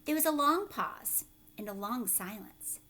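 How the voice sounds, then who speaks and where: A woman teacher reading a children's story aloud expressively, with dramatic pauses and questions for young listeners. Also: a little high, expressive, sharp tone.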